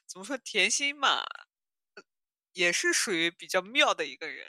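A bright, forceful-sounding woman recorded very dry. She chats casually as a podcast co-host, at an average pitch for a woman. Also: sing-song, normal speed, no room sound. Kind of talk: casual chat about audio dramas.

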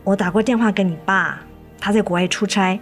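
一位女演员在广播剧中饰演操心又疲惫、爱叮咛的母亲。. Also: female voice, medium pitch, fairly even intonation, fairly quick, faint music behind, natural voice. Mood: sorrowful. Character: worried, weary, nagging mother of two daughters. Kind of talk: radio drama acting.

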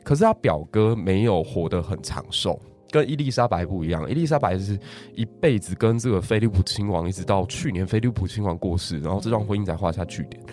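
A man, a guest history teacher, telling stories in lively casual podcast chat and laughing often. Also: sits low, fairly quick, few pauses, faint music behind. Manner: lively storytelling with frequent laughs.

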